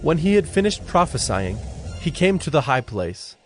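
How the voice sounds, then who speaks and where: A man narrating scripture from a script as a solemn, measured storyteller. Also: on the high side, sing-song, soft background music.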